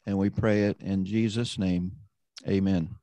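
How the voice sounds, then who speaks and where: A man hosting an online roundtable, introducing panelists and leading a prayer in a measured, conversational way. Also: low voice, steady tone, mellow. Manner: measured, conversational, often slowing down. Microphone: video call.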